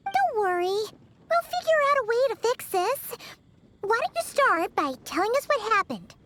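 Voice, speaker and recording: A woman voicing a small, girlish, excitable fairy companion in a video game, with a childlike squeaky voice. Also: normal speed, full character voice. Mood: reassuring. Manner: animated, expressive. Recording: background music under voice.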